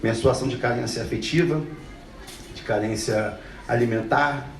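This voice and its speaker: A man's voice: mid-range voice, moderate pace. A man from Brazil, speaking bluntly and passionately to an audience and repeating phrases for emphasis. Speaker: speaker telling his life story. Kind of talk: earnest talk to an audience. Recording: roomy, distant mic with faint noise.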